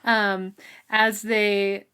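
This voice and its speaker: A woman's voice: mid-range voice, level tone, drawn-out words, bright, forceful tone, clean tone. Giggly rambling woman podcaster. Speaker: podcast co-host. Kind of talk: casual book club podcast chat.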